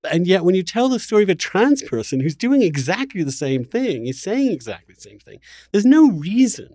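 Animated man in spirited conversation. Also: sits high, no room sound, studio quality. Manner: halting then emphatic, argues through rhetorical questions. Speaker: interview host.

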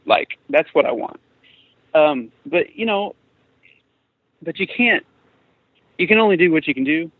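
A man's voice: fairly high pitch, expressive, a little slow, long pauses, slightly rough, dry recording. A man in his forties, a guest musician talking casually in an interview, rambling with many restarts and fillers. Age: 40s. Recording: tinny phone-like remote line.

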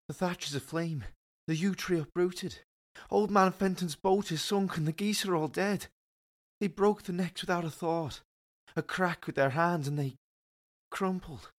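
A man plays a wounded Saxon warrior in an audio drama. His fairly high male voice is intense and haunted, with a bleak, fatalistic temper. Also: natural voice. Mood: haunted dread.

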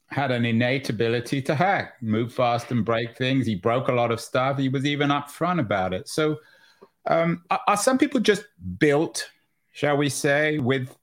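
Halting male interviewer, mid-pitched. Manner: halting, hesitant phrasing with long build-ups to questions. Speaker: interview host. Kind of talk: conversational interviewing.

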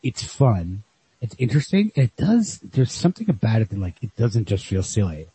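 A man with a husky voice thinking aloud in a casual, rambling literary discussion as a podcast co-host. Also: fairly low pitch, big pitch swings, normal speed, warm tone. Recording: lo-fi podcast audio.

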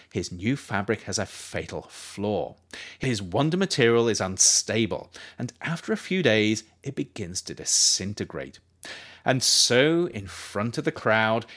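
Lively, expressive male audiobook narrator. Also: on the low side. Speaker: author reading their own book, narrator.

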